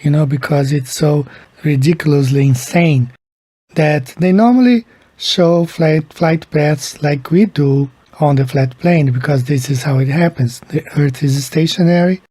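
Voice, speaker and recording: Slow, husky-voiced Brazilian-born man. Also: fairly high pitch, dark tone, dry recording. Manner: slow, halting, repeats words. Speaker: video creator. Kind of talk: casual explainer monologue.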